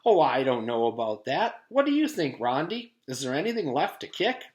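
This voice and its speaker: A man's voice: medium pitch, big pitch swings, normal speed, close to an everyday voice. A man voicing a playfully coy grown-up at a school playground, asking teasing questions in a children's story.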